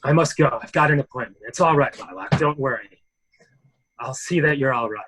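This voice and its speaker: A man acting an evasive politician and secret lover who talks in brisk reassurances, in a dramatic mystery reading. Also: mid-range voice, a bit bright, natural voice. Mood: reassuring.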